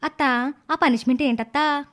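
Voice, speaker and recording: A woman voicing a silly, stubborn young niece, girlish and quarrelsome, in an animated moral story. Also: sits high, moderate pace. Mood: anxious, pleading.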